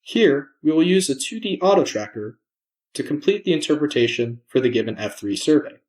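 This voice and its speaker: A man presenting a software demo in scripted narration, measured and explanatory, enunciating his words. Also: a little high, very animated, rough recording.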